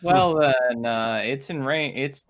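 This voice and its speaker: Mid-pitched man chatting over a game. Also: takes it slowly. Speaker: player in a tabletop game. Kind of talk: casual game chat.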